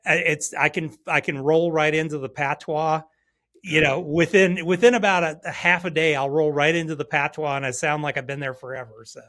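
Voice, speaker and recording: A man's voice: on the high side, fairly even intonation, a little fast, fairly bright tone. A man hosting a podcast, friendly and talking with lots of fillers. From Hawaii. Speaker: podcast host. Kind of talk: podcast interview.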